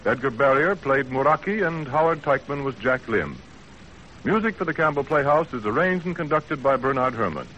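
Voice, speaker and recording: A man's voice: mid-range voice, expressive, normal speed, slight background noise. A man reading scripted announcements in a polished, formal 1930s radio announcer style. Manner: polished, formal sales delivery. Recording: dull vintage 1930s radio recording.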